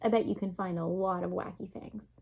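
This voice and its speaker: A woman in her twenties reading a picture book aloud to young children, expressive and speaking to them directly. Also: lowish voice, brisk, creaky, highs cut off, slight background noise. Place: small room indoors.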